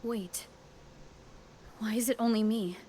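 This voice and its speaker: An actress playing a puzzled young video game heroine who thinks aloud in a hushed, questioning way. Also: female voice, on the high side, fairly even intonation, slow. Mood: puzzled, pensive. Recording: echoey hall reverb, faint background noise.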